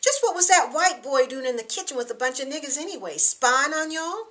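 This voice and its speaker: Audiobook role: suspicious, commanding young man, played by a woman, hard bright edge, scornful. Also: high-pitched, expressive, a little fast, voice pushed up. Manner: firm, scornful.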